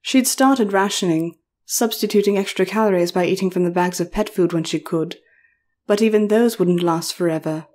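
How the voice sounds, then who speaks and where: Mellow woman narrating a story. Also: on the low side, moderate pace.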